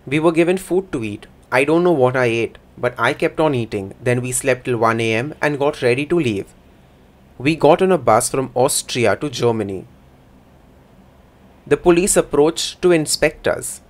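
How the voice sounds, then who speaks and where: A man voicing a first-person interpretation for a signing speaker in a plain, measured way. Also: mid-range voice.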